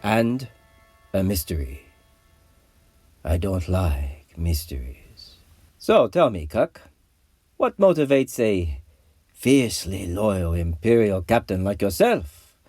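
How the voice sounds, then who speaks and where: An actor playing an imperious, self-assured rebel warlord, speaking in a measured, grandiose way in an audio drama. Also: male voice, low-pitched, animated, darkish tone, dry recording, clean studio sound, faint music behind, exaggerated voice. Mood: appraising, suspicious. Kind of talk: dramatic audio comic acting.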